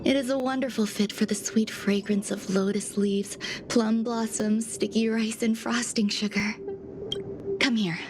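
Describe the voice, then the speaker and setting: A woman playing a serene, aloof genius scientist in a video game, speaking in a measured, formal, gently detached way. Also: fairly high pitch, fairly even intonation, natural voice. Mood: soft, dreamy. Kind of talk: video game character acting. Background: music.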